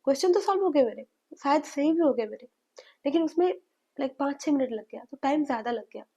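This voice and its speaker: Young woman, slightly hoarse voice. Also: high voice, expressive, quick pace, dark tone. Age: young adult. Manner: hesitant, mixes in English, many fillers. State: hoarse from a cold. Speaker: medical student giving study advice. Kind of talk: casual advice to viewers.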